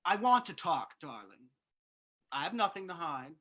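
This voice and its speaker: A woman's voice: on the low side, very animated, normal speed, a bit bright. A woman playing an earnest, defiant young male army private in an old-time radio play reading.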